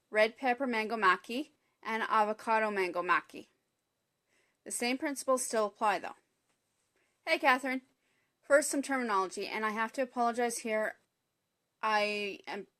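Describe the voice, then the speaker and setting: A woman's voice: on the high side, fairly bright tone. Chatty Canadian woman hosting a cooking stream. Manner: chatty and apologetic, greets viewers by name. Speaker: cooking show host. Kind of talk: live-streamed cooking demonstration. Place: home kitchen.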